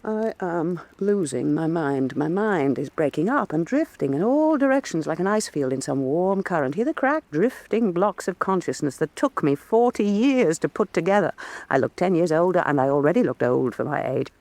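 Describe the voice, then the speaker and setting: A woman's voice: lowish voice, animated, moderate pace, darkish tone, no echo. An actress playing an imperious, volatile middle-aged woman painter in a radio drama, theatrical and cutting. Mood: despairing. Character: imperious, volatile, mocking woman painter of about forty in Italy. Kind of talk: full-cast radio drama acting.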